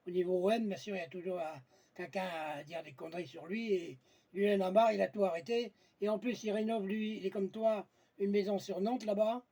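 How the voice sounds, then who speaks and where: A man's voice: high voice, mellow, breathy edge. A man chatting casually on amateur radio, blunt and colloquial, often searching for his words. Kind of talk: casual radio contact chat.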